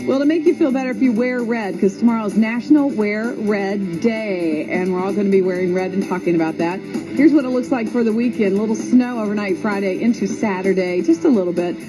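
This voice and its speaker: A woman's voice: mid-range voice, fairly quick, music in the background, poor audio. A woman hosting a radio show in a chatty, encouraging, conversational way. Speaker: radio host.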